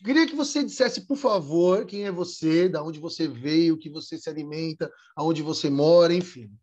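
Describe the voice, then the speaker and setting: A man hosting a podcast in a joking, rambling, animated way. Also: a little high, moderate pace, highs cut off. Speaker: podcast host.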